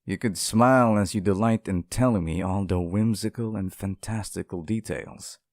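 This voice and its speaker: A man voicing a lonely, sweet and possessively devoted sealed demon in an intimate ASMR roleplay, speaking softly, with tender, hesitant pleading. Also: on the low side, normal speed, no echo, clean studio sound, lightly acted. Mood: eager, devoted. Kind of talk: ASMR roleplay, intimate scripted monologue to the listener.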